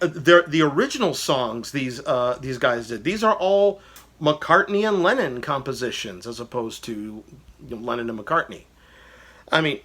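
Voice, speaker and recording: A man's voice: medium pitch, a bit bright. Chatty man musing about old records. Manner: rambling, enthusiastic, many fillers and restarts; briefly sings lyric snippets. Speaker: vlogger reviewing albums. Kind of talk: casual personal music commentary.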